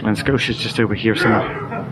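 A man's voice: fairly low pitch, steady tone, a little fast. Guy in his 40s vlogging on a ferry, easygoing, heavy wind and engine noise. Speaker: travel vlogger. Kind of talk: casual travel vlog narration. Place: outdoors on a windy coast and a ferry deck. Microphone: handheld action camera.

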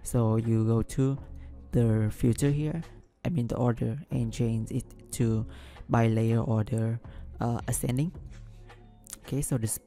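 A man walks through a software tutorial at his desk, his voice gravelly and dark in tone. He hesitates often, filling gaps with ums and restarting phrases, speaking English as a non-native. Faint music plays underneath. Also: mid-range voice, steady tone, measured pace. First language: not English. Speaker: business analyst tutorial host. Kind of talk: screen-recorded software tutorial.

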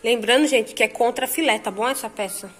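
A woman's voice: a little high, a little fast. Chatty woman narrating a home cooking vlog. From Brazil. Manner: chatty, addresses viewers directly. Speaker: vlogger. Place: home kitchen.

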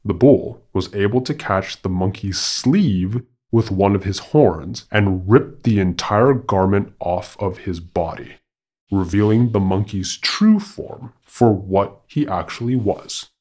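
Male podcast storyteller, lowish voice, slow and formal, dramatic scripted fable narration. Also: animated, darkish tone.

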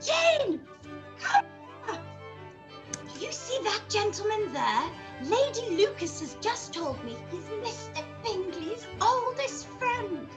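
Effusive mother, snobbish, dramatic, very high-pitched, drawn-out delivery, radio play. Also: big pitch swings, music in the background, full character voice. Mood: excited, gleeful. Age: middle-aged. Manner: effusive, dramatic, breathy laughs and gasps. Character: excitable, gushing, snobbish middle-aged mother of daughters. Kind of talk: theatrical period radio play reading. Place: small room at home, indoors. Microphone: video call.

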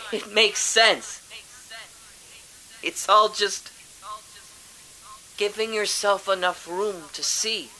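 An actor playing a dying father who speaks hushed, halting, awed last words to his family in an audio drama. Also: sits high, full character voice, rough recording.

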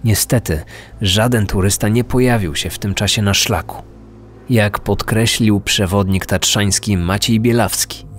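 A man's voice: on the low side, steady tone, measured pace. A man narrating a scripted true-crime podcast. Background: faint music.

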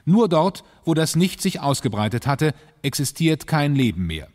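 A man's voice: fairly high pitch, sing-song, normal speed, darkish tone. A man delivering scripted dramatic narration for an audio drama. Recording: long reverb, music under voice.

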